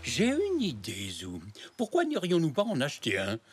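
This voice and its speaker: A man voicing a kindly old zebra grandfather in a French children's cartoon, jovial, encouraging and expressive. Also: fairly high pitch, normal speed. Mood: enthusiastic. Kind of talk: animated children's cartoon dialogue, French dub. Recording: music behind the dialogue.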